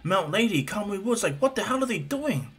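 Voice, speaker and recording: A man's voice: high-pitched, fairly quick, husky, voice pushed up, heavily acted. A man voicing an eager, polite, bashful teenage boy who idolizes heroes, in an amateur story retelling. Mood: worried, urgent. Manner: excitable, earnest, bashful.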